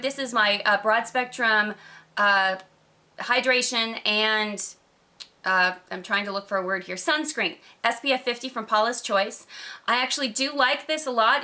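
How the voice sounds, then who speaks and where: A woman with a slightly croaky voice from a cold, chatting casually and emphatically to camera as a gushing beauty vlogger. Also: on the high side, bright, forceful tone. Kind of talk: casual product review chat to camera.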